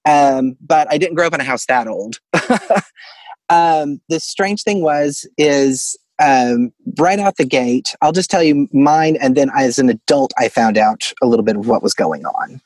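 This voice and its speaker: A man's voice: medium pitch, animated, measured pace, darkish tone, dry recording. Male caller from Arkansas, dry close sound. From Arkansas, United States. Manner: chuckles through his story. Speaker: caller telling a personal ghost story. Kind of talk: casual storytelling. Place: closet at home.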